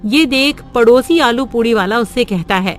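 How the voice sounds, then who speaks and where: Woman narrating a cartoon story, high voice, faint music behind. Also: animated, normal speed. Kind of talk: scripted narration.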